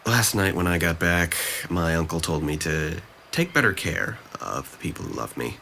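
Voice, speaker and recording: A young man playing a depressed, self-blaming young man in a drama, speaking haltingly and subdued. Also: sits low, very animated, creaky, sharp tone, slight background noise, heavily acted. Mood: apologetic, subdued. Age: young adult. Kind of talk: naturalistic dramatic dialogue.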